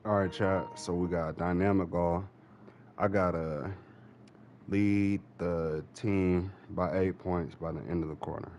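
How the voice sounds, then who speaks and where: A man's voice: low voice, steady tone, measured pace, darkish tone, highs cut off. A man chatting casually and in slang to his viewers as a gaming streamer. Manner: slangy, informal. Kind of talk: casual gameplay chat to viewers. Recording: very noisy background, game audio bleeding in.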